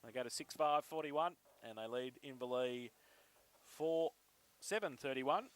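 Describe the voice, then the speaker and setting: Aussie man calling the footy play by play, medium pitched voice. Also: unhurried. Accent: Australian. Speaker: football play-by-play commentator. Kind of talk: live Australian rules football commentary.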